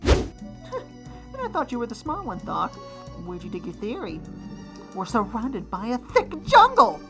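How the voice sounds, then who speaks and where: A bright, high-pitched villain henchman voiced by an actor in a cartoon fan dub. He is hot-tempered and sarcastic, hurling scornful lines with forceful edge over faint music. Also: huge pitch swings, a little slow, vocal fry, full character voice.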